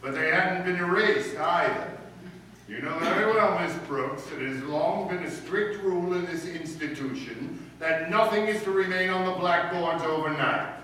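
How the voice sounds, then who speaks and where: An echoey, distant recording of a man acting as a pompous middle-aged school principal from radio comedy. His voice is fairly high and forceful, and he speaks in formal, commanding phrases. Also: normal speed. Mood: stern. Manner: pompous, authoritarian, formal diction. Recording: echoey room from far off, faint noise.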